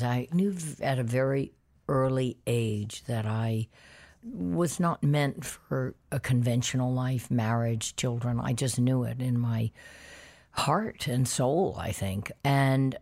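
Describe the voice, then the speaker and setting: Woman, 50s, very low deep voice; candid, animated interview guest. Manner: candid, animated storytelling with quoted dialogue. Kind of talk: conversational interview.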